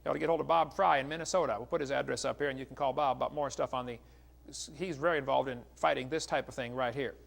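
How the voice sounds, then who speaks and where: A man's voice: mid-range voice, fairly even intonation, quick pace, gravelly. A middle-aged American man giving a religious lecture as an evangelist, in a folksy, polemical way, reading out quotations. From United States.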